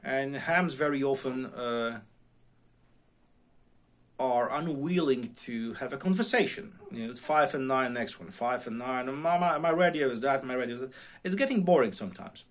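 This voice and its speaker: A middle-aged man with a non-native English accent and a mid-pitched voice talks casually to his viewers. He speaks in a halting, unscripted way, repeating the starts of phrases as he searches for the next word. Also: animated. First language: non-English, self-taught English speaker. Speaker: amateur radio vlogger. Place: home radio room.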